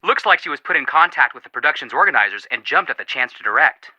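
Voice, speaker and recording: Bright, animated young detective. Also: male voice, on the high side, quick pace, no echo, muffled recording, heavily acted. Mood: upbeat. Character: earnest, sympathetic young male amateur detective. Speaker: video game character voice actor. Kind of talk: scripted game phone dialogue.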